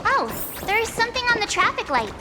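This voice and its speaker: A cheerful young girl adventurer full of questions. Her high voice jumps up and down as she wonders aloud. Loud game music plays under this cutscene. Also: sing-song, a little fast. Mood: curious. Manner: bubbly, wondering, playful. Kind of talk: video game voice acting.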